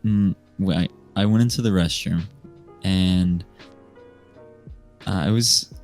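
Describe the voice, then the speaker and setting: A man of nineteen with an American accent, chatting and telling stories as a podcast guest in a hesitant, unhurried way. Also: sits low. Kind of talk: casual storytelling chat. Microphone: remote voice call microphone. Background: soft music.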